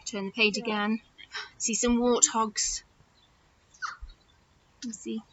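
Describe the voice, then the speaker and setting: A high-pitched woman leads storytime for little children in the manner of a nursery teacher. Her delivery is slow and swoops widely, with exaggerated emphasis and direct questions to her young listeners. The recording is a slightly muffled home video with faint noise. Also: sharp tone.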